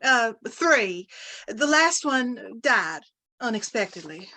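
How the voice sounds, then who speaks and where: High, slow, sugary flirt played by a woman. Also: big pitch swings, sharp tone, full character voice. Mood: coy, sugary. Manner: coy, drawling, cooing. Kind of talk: old-time radio comedy acting.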